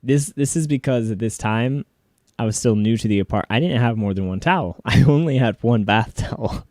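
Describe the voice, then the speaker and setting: A man's voice: mid-range voice, fairly quick, darkish tone, dry recording. A man giving casual, chuckling commentary as a vlogger looking back on his own old videos. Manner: laughs readily while reminiscing.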